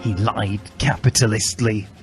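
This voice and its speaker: A man's voice: fairly low pitch, fairly even intonation, a little slow. Theatrically emphatic man narrating over music. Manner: mock-serious, theatrically emphatic. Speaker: reader. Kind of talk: dramatic reading of comic fan fiction. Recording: music behind the voice.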